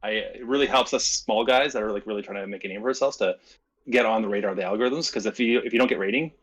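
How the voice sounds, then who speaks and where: Canadian prairie guy, kinda low husky voice, lots of "like", laid back dev chat. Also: fast talker. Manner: chatty, lots of "like" fillers, earnest. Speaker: indie game developer, guest. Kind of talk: casual video-call interview.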